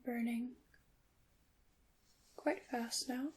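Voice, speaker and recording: A woman playing a gentle, knowing witch in an ASMR roleplay, speaking in a breathy half-whisper with deliberate, slightly formal phrasing. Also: on the high side, fairly even intonation, exaggerated voice, poor audio. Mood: hushed, instructive. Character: gentle, knowing witch teaching her craft. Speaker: ASMR performer.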